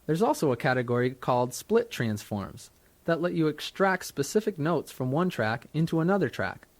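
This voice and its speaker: A man reading scripted instructional narration as a software tutor, in a measured, explanatory manner. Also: on the high side, big pitch swings, no echo. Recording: dry studio narration from a 1990s tutorial cassette.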